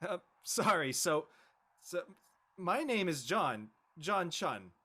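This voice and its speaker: A man's voice: on the high side, very animated, slow pace, pushed and bright, exaggerated voice. A man playing an awkward, easily embarrassed teenage boy with a nervous, stammering delivery in a casual audio drama. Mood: nervous, eager.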